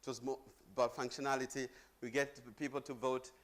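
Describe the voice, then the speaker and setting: A man's voice: medium pitch, little pitch movement, fairly quick, a bit bright. A middle-aged man from Zambia giving a chatty conference presentation on stage, joking with the audience. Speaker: conference speaker.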